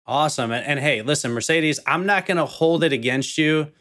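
A man's voice: fairly high pitch, brisk, a bit bright. A man in his thirties hosting a casual farm podcast panel over a video call, upbeat and joking. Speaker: podcast host. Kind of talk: casual hosted panel chat. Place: home office, indoors. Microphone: video call, webcam mic.

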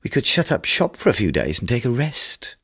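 Calm, scolding shopkeeper's wife done by a man, raised voice, brisk bursts. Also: mid-range voice, breathy edge, voice pushed up, full character voice. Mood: dismissive. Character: calm, sharp-tongued wife of the coal merchant.

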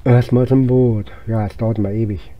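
A man's voice: mid-range voice, steady tone, normal speed, dull audio. A man who sounds a bit under the weather, giving casual let's play commentary in short, muttered fragments to himself. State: unwell, recovering from illness. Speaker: gaming YouTuber.

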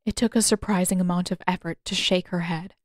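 A woman reading a story aloud as narrator, with expressive, measured, deliberately phrased dramatic delivery. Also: fairly low pitch, dry recording, clean studio sound. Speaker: narrator and author. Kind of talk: expressive audiobook narration of fan fiction.

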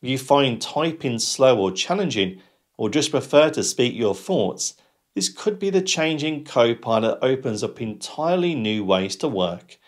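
A man in his forties hosting a software tutorial in a presenter style. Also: medium pitch, very animated, unhurried. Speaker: tutorial host.

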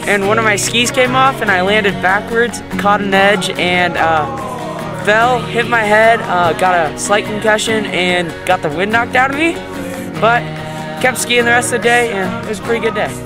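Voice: mid-range voice, moderate pace. Young-sounding voice over guitar music. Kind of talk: casual interview recollection.

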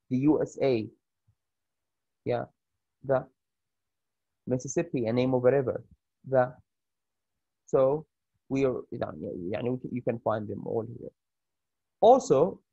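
A man with an Arabic accent teaching an English grammar lesson over a shared screen, pausing often with fillers and restarts. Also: medium pitch, mellow, slightly rough, no echo, muffled recording. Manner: halting, many fillers and restarts.

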